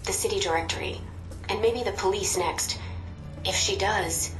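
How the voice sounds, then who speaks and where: Actress as a brisk female handler, echoey. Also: medium pitch, natural voice. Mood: concerned, businesslike. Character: alert, businesslike female spy handler. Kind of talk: video game dramatic dialogue. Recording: echoey sound with music behind.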